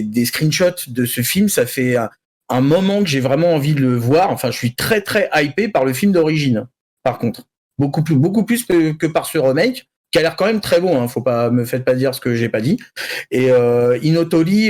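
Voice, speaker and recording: A man's voice: mid-range voice, darkish tone. A man chatting casually about anime news as a panel commentator, often restarting his phrases in a hesitant way. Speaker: panel commentator on a geek culture show.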